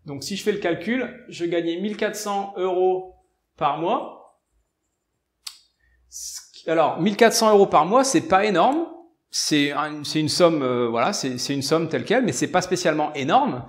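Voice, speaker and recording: Higher-voiced French man explaining informally. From provincial France. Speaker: self-help video presenter. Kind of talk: informal explainer on personal finance.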